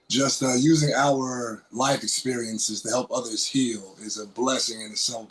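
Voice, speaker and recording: A man on a video call talking calmly and gratefully about working with his mother. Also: medium pitch, low-quality recording. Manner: calm, reflective, grateful. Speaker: guest, nonprofit co-worker. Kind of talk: reflective panel remarks.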